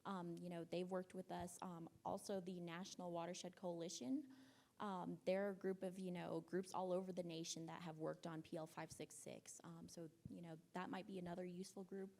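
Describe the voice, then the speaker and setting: A soft-spoken woman speaking as a panelist at a conference, hesitant and full of ums. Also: fairly low pitch, little pitch movement, moderate pace, gravelly. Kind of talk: conference talk.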